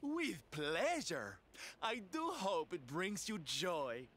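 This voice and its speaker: A flamboyant female adventurer for a video game. She swoops dramatically in pitch, taking her time with coy, teasing relish. The voice is bright and theatrical, over faint music. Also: sing-song, slow pace, a little hiss or hum, full character voice. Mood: coy, luring. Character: flamboyant, teasing, bold adventurer with mock-sweet charm.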